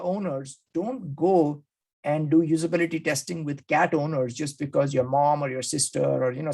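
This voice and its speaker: A man's voice: on the high side, vocal fry. A man hosting an interview, enthusiastically recapping his takeaways and acting out quoted speakers. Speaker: podcast host.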